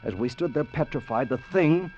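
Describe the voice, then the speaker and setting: An actor playing an earnest young time traveller who narrates, in 1940s radio drama style. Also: male voice, medium pitch, lively intonation, normal speed, close to an everyday voice. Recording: dull old radio recording with music.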